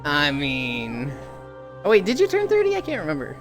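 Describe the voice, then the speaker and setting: A woman's voice: low-pitched, wildly swooping pitch, moderate pace, over music. A woman streaming casually, rambling through stories, swearing and laughing easily. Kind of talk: casual chat while streaming.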